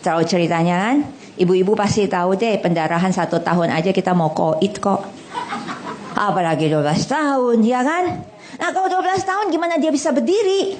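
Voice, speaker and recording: A middle-aged woman preaching to a congregation in a hall. She is animated and blunt, jokes in casual slang and keeps asking the audience questions. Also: mid-range voice, fairly quick, a little hiss or hum. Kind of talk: lively sermon.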